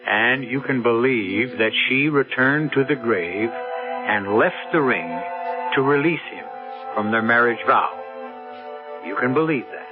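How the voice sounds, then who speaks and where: A man with an American accent hosting and narrating a radio mystery in a measured, theatrical, wry manner. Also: medium pitch, expressive. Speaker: host and narrator. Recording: narrow-band old radio, music behind.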